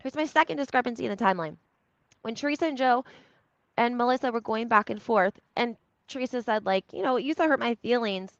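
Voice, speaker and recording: A woman with a fairly high voice talks through reality TV in a casual, opinionated way. She is conversational and leans on emphasis and rhetorical questions. The sound is a little muffled and lo-fi, with the echo of a room around her. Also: quick pace. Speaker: reality TV recap commentator. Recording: roomy echo, slightly dull lo-fi sound.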